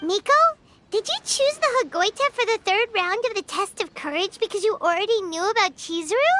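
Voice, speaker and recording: A woman voicing a small, excitable, naive fairy companion with a squeaky childlike voice in a video game. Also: fairly quick, background noise. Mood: curious. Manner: exclamatory, refers to itself by name. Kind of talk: English video game voice acting. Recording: loud game music behind.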